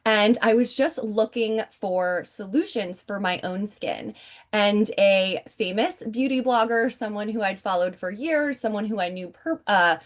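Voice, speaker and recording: Woman, 40s, upbeat chatty presenter pitching over a video call from home. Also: a little slow. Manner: upbeat, chatty, keeps addressing the audience directly. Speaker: network marketing presenter.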